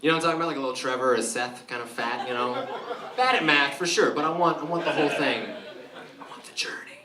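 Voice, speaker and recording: A stand-up comedian from Romania performing a live club set, delivering dry set-ups and jumping into loud, shrill voices for his punchlines. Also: animated, quick pace, far from the mic, a crowd in the background. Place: live club show with audience laughter. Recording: roomy club sound from afar, audible noise.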